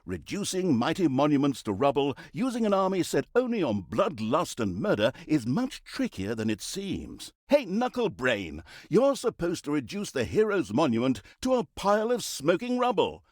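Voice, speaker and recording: An actor playing a theatrical, mock-pompous narrator of villainous deeds in a comic video game, with a sardonic, mocking manner. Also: male voice, fairly high pitch, wildly swooping pitch, normal speed, hardly any pauses, a little mellow, no room sound, clean studio sound. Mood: sardonic scolding.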